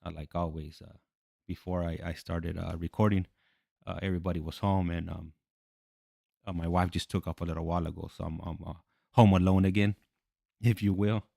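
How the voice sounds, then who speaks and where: Male host, low-pitched with creaky fry, halting and repetitive, casual, recorded close and dry. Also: flat delivery, dark tone, dry recording. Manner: halting, repetitive, searching for words. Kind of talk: casual live show hosting. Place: at home indoors.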